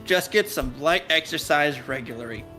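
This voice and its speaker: A man's voice: a little high, moderate pace, pushed and bright, soft background music. A man chatting casually on a game stream in a blunt, teasing, sometimes profane way. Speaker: co-streamer voicing game characters.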